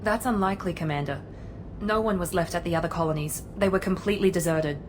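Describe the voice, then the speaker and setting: A woman's voice: on the low side, fast, big-room sound, noisy background, close to an everyday voice. A woman playing a cool, distrustful covert operative in a video game, with a clipped, aloof, self-assured manner. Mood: businesslike.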